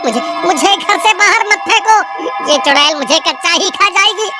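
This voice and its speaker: A man's voice: very high voice, expressive, normal speed, over loud music. A man voicing a cowardly, flirtatious cartoon man with a whiny, panicky, melodramatic delivery. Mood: terrified protest. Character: cowardly, flirtatious man pleading for his life. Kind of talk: cartoon voice acting.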